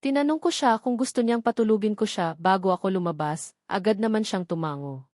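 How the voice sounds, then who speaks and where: A woman's voice: mid-range voice, brisk, darkish tone, no room sound, studio quality. A woman with a Filipino accent reading a scripted first-person story as an audiobook narrator.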